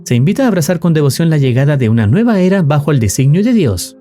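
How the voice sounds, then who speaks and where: A man narrates a scripted story in formal, measured phrasing. His voice sits a little high and has a very mellow, relaxed tone, with animated intonation that moves through each sentence. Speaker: narrator of horror video recaps. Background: music.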